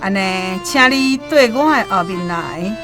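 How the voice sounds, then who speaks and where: A woman's voice: medium pitch, lively intonation, measured pace, music in the background. A woman playing a sly old roadside guide who coaxes and persuades, in a Taiwanese radio drama. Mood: coaxing. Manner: coaxing, persuasive.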